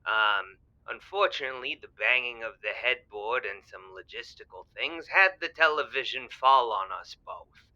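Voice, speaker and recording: Man acting fumbling, eager old man, bright-voiced. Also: mid-range voice, expressive, moderate pace, highs cut off. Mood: nervous, earnest. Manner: hesitant, stammering, earnest. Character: fumbling, naive, eager married man in his eighties. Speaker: caller to a radio advice show. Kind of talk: improvised-sounding comic audio drama.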